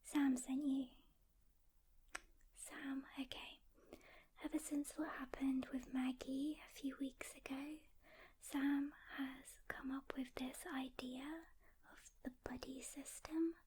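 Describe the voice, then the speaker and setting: A woman playing a reluctant loner demon hunter in an ASMR roleplay, soft-spoken and whispering, with a casual, halting delivery. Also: high-pitched, little pitch movement. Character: seasoned female demon hunter, reluctant loner, guarded but caring.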